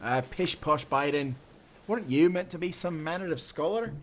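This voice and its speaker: A man's voice: on the high side, expressive, moderate pace, a little hiss or hum, natural voice. A man playing a hearty, friendly timberman turned sailor who talks in folksy, encouraging old-time seafaring speech in a nautical audio drama. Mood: cheeky, teasing. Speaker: sailor guiding a newcomer.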